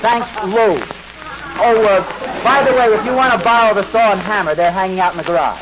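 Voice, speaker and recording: A man's voice: sits high, brisk, natural voice. An American man acting a self-important, evasive suburban husband in an old-time radio sitcom, pompous and blustering, on a noisy, muffled recording. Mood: falsely modest. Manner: pompous, blustering, stammering when cornered.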